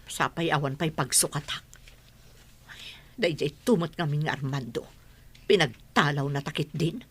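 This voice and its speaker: Woman playing a breathy conniving stepmother. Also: deep voice, moderate pace. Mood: complaining. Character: scheming stepmother, adult woman. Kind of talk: radio drama acting.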